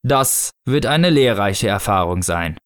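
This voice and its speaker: Mann spielt langsamen, nüchternen Tod als Weihnachtsmann. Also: male voice, lowish voice, lively intonation, smooth, no room sound, clean studio sound, voice pushed down. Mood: stern, unbothered. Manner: slow, matter-of-fact. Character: death posing as gruff, literal-minded Father Christmas.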